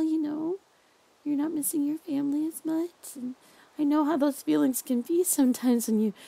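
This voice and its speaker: A woman voicing a possessive, obsessive female captor in an audio roleplay, crooning softly and slipping into whispers. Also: high voice, creaky, husky, pitched above the performer's own voice, exaggerated voice. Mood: soothing. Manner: soft crooning, slipping into whispers and half-sung lines.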